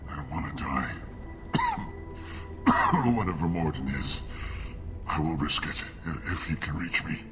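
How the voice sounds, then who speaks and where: An actor playing a bitter, plague-stricken alien in a video game, sick, weakened and halting. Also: male voice, a bass voice. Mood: weak, softening. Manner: slow, embittered, halting. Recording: reverberant hall sound, music behind.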